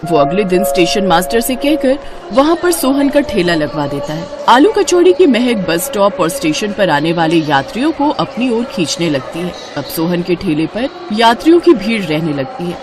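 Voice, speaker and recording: A woman's voice: medium pitch, big pitch swings, normal speed, few pauses, background noise. A woman narrating a scripted moral story as a storyteller.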